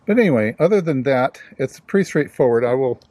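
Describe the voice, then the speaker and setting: A man's voice: medium pitch, lively intonation, fairly quick, warm tone, no room sound. A man casually narrating a hands-on DIY installation, explaining it plainly step by step.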